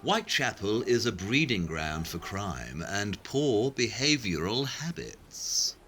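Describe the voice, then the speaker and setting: A man acts out a brilliant, condescending detective of the Victorian age. The voice is bright and forceful, swooping widely in pitch. Every phrase is clipped and commanding, in audio drama style. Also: big pitch swings, slow pace, exaggerated voice. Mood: assured. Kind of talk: scripted audio play acting.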